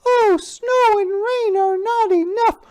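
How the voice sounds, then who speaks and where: A woman's voice: very high voice, takes it slowly, a little mellow, pitched above the performer's own voice. A woman voicing weird old male magicians from a storybook, chanting eerily gleeful rhymes in a singsong. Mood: gleeful incantation.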